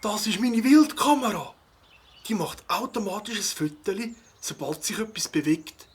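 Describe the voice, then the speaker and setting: Man acting a very husky Swiss birdwatcher. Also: sits high, animated, normal speed, exaggerated voice. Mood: friendly. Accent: Swiss German. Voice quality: husky, breathy. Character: kindly adult male birdwatcher, concerned, helpful. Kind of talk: children's audio drama acting.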